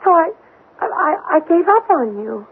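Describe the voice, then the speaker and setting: A young country sweetheart, tender and torn. Her high voice falters with emotion in 1970s radio drama style. The recording is thin and narrow, like an old broadcast. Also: sing-song, unhurried, full character voice. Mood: defensive, hurt. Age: young adult. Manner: breaking off and repeating words, emotional.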